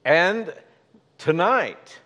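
A man's voice: sits high, animated, extremely slow, sharp tone. Male pastor, sermon, folksy conversational asides, builds to emphatic points, long hall reverb.

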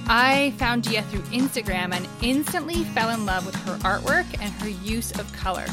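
A woman hosting a podcast, reading an eager introduction and asking interview questions. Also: a little high. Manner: enthusiastic, gushing introductions. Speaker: podcast host. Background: music bed.

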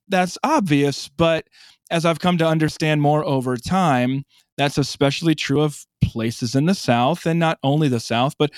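A man's voice: on the high side, a little mellow, no echo, clean studio sound. A man from South Dakota in his late thirties or early forties, answering interview questions in a thoughtful way and repeating words as he starts a thought. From South Dakota, USA. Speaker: guest.

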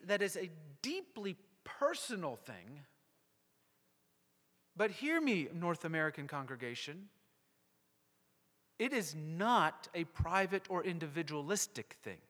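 A male pastor preaching a sermon to a congregation, emphatic and rhetorical, with deliberate pauses. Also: sits high, big pitch swings, fairly bright tone. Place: church sanctuary. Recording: long hall reverb.

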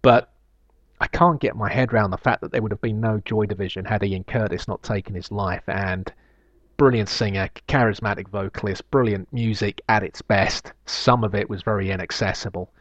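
Man, podcast host, low voice, slight lisp, rambling and reflective, dry dull recording. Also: fairly even intonation, normal speed, dry recording. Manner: reflective, rambling, with frequent fillers and restarts. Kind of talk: solo podcast monologue, reading listener emails.

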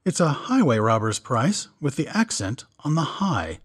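A man's voice: mid-range voice, sing-song, a little slow, husky, pitched above the performer's own voice. A man voicing a sly, dry-witted doctor who speaks in a purring, wry way. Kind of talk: audiobook character voice.

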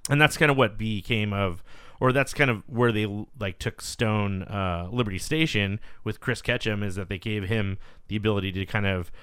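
Dude with a lowish smooth voice rambling about stuff, swears a lot, dry close sound. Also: male voice, moderate pace, a bit bright, dry recording. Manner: rambling, profane storytelling. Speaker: podcast host. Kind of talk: casual chat. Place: San Diego.

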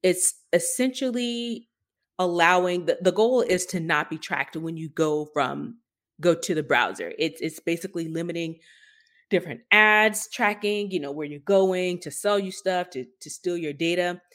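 Woman, fairly low pitch, podcast co-host, casual news discussion, informal, wry delivery. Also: moderate pace, a bit bright.

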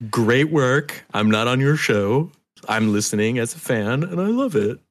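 A middle-aged man from the US East Coast, a podcast guest chatting casually and effusively, with halting restarts and swearing. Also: mid-range voice, lively intonation.

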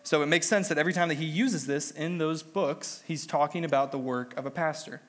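A man's voice: fairly high pitch, fairly quick, fairly bright tone. Man preaching with a head cold. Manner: conversational, self-deprecating teaching. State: has a cold, slightly nasal. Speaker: lay preacher. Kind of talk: sermon.

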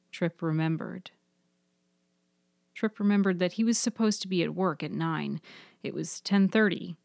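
A woman narrates a serial fiction podcast in a slightly low register. Her phrasing is measured and deliberate, in a scripted literary style. The recording is very dry. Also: a little mellow, no room sound.

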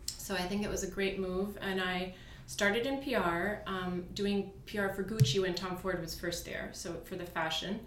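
A woman's voice: medium pitch, steady tone, normal speed, roomy sound, noisy background. A woman from Virginia in the United States, a podcast guest chatting casually and easily, with many fillers and restarts. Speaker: podcast guest, beauty brand co-founder. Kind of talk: casual interview chat. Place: studio.

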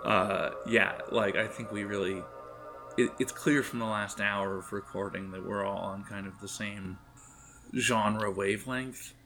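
A man narrating an improvised tabletop game as game master, in a halting, conversational way, speaking directly to his players. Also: lowish voice, fairly even intonation, over music.

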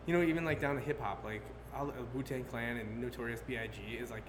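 A man's voice: medium pitch, steady tone. Thirtysomething man chatting in a noisy taproom. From Connecticut. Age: 30s. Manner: loose and rambling, heavy on "like", quick laughs. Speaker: guest, graphic artist. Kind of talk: casual podcast chat. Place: brewery taproom. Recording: roomy live venue with loud background noise.

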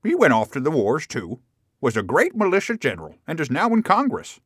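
Thin, piping old villager. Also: male voice, a little high, huge pitch swings, brisk, fairly bright tone, husky, no room sound, clean studio sound, voice pushed up, exaggerated voice. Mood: mournful recollection. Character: thin-voiced old village man, matter-of-fact bearer of news.

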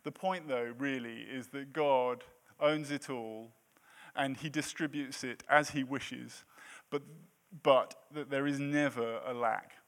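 A man's voice: medium pitch, steady tone, slow pace. Joking man in his thirties preaching. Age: 30s. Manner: self-deprecating, jokey asides. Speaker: pastor. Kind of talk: sermon.

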